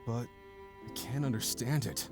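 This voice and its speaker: A man playing a hot-headed but loyal high school boy, blunt and excitable, in an audio drama. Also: unhurried, over music, natural voice. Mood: reflective.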